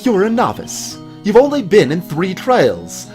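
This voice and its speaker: A proud, scornful video game lawyer, acted out by a man, speaks in haughty, clipped phrases. The delivery is sardonic and defensive, with widely swinging pitch over music. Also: wildly swooping pitch, measured pace, a little mellow, heavily acted. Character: proud, bitter male attorney, coldly defensive and scornful.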